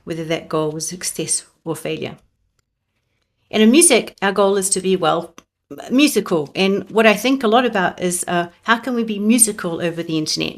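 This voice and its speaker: A woman giving a prepared academic talk remotely into a close mic, in a measured, reflective manner. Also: on the low side. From New Zealand. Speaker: conference presenter. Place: presenting remotely over the internet. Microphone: close microphone.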